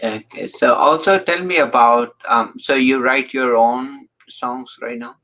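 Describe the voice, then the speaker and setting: A man hosting an interview, speaking haltingly with many fillers. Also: mid-range voice, bright, forceful tone, a little hiss or hum, low-quality recording. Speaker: interview host. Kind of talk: casual interview chat.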